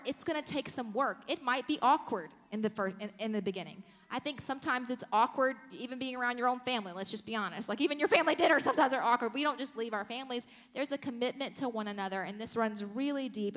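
A woman's voice: a little high, fast talker, a bit bright. A woman in her thirties giving an earnest, candid, encouraging talk as a co-teacher at a church service. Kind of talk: conversational sermon teaching. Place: stage of a church auditorium with seated audience. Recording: reverberant large-hall stage sound.

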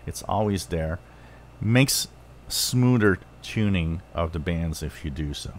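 A man hosting a hobby review, explaining things unscripted in halting short phrases, as if thinking aloud. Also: low voice, animated, a little hiss or hum. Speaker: channel host reviewing radios.

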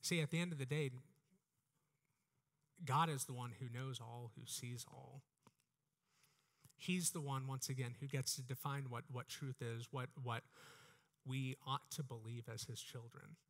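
A man's voice: mid-range voice, steady tone, unhurried, pauses often, fairly bright tone. A man in his thirties with an American accent, giving an earnest, exhorting sermon into a headset mic, often repeating phrases. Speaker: preacher. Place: church stage, indoors.

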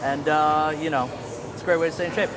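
A man's voice: a little high, fairly even intonation, fast, a crowd in the background. A young man talking casually as a fitness vlogger, explaining a workout in a gym. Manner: upbeat, coaching cues, casual. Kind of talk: casual workout vlog narration and coaching. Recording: gym music playing behind. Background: music.

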